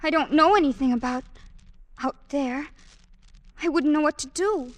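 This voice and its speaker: Actress as fearful young captive woman, very high girlish voice, naive, earnest, dry close sound, vintage radio drama. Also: normal speed, no room sound, lightly acted. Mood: timid, fearful. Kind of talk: old-time radio drama acting.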